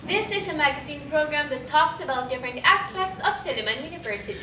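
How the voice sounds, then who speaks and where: A woman co-hosts a campus talk show with a high voice. She chimes in with short, light interjections. The recording is roomy and distant, with clear background noise. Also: expressive, fast. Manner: brief interjections, light laughs. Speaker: co-host. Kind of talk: casual talk show hosting. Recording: roomy, distant mic, noisy.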